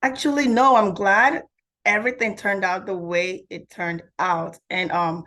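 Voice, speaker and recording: A woman's voice: medium pitch, fairly even intonation, unhurried, sharp tone. A woman answering interview questions as a podcast guest in a reflective, candid, conversational way. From Nigeria.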